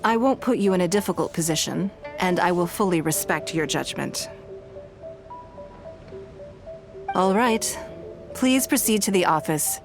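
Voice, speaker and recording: A woman voicing a poised, authoritative noblewoman in a video game, speaking in a formal, measured, composed manner. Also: lowish voice, animated. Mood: composed, reassuring. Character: poised, authoritative noblewoman leader employing secretaries. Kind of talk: scripted video game dialogue. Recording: background music behind voice.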